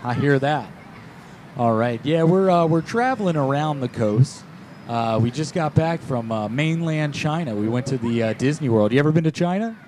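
A man's voice: medium pitch, animated, normal speed, darkish tone, a little hiss or hum. A man in his thirties improvising a prank call as a chatty, rambling, folksy tourist into a close mic. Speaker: prank call show host. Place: small decorated indoor studio. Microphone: close studio mic on a boom arm.

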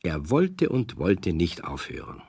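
A man narrating a children's radio play in a calm, storytelling manner. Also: deep voice, a little slow, husky, no room sound, clean studio sound.

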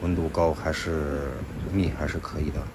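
A man's voice: very deep voice, little pitch movement, normal speed. A man beekeeper casually narrating his hands-on work outdoors at open hives. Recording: noisy outdoors, buzzing bees.

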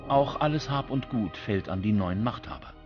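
A man's voice: fairly low pitch, animated, normal speed, muffled recording. Male documentary narrator over music. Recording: music bed behind the voice.

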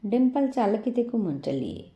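Lady reading as a young husband, fond and pleading, very dark mellow tone, audio story. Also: on the high side, sing-song, moderate pace, muffled recording.

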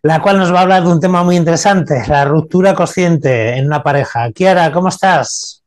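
An older man hosting an interview over a video call from a room at home, calm and affirming. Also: a little high, normal speed. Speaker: interview host. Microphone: video call webcam.

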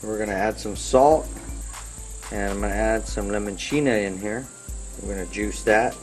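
A middle-aged man hosting a casual outdoor cooking demonstration, giving relaxed, informal instructions as a chef. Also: on the low side, normal speed, soft background music. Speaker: chef and cooking show host. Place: outdoor patio at night.

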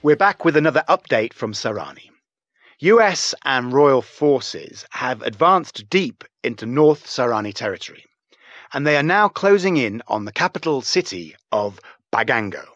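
A man playing a serious male television news anchor in a video game, reading scripted reports in a formal, measured, emphatic manner. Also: medium pitch, expressive, a bit bright, dry recording, highs cut off, lightly acted. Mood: grave, formal.

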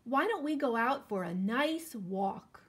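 A caring storybook dog owner, a man as a woman reads him aloud. The voice is high and emphatic, swinging widely in pitch. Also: animated, a little slow, fairly bright tone, husky, exaggerated voice. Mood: forgiving. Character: dog's male owner, caring but exasperated.

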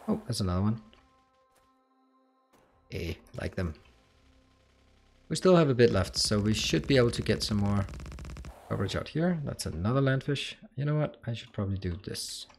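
A man from Denmark with a Danish accent, chatting casually on a game livestream and answering chat as he plays. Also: lowish voice. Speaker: streamer.

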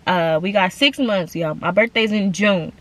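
A woman's voice: mid-range voice, moderate pace. A young woman in her twenties chatting casually to her viewers from inside a parked car, full of slang and calling them y'all. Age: 20s. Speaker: vlogger. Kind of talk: casual chat to camera.

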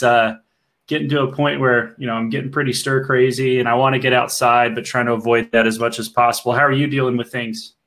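A man's voice: mid-range voice, fairly bright tone. A man in his thirties hosting a live swim clinic stream from home on a headset, loose and chatty, talking to his viewers. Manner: chatty, loose, with fillers, addresses the chat. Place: home room, video call.

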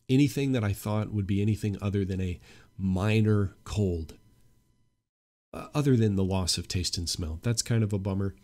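A young man hosting a solo podcast with a stuffy nose, talking earnestly and growing emphatic. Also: sits low. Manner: earnest, opinionated, grows emphatic. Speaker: podcast host.

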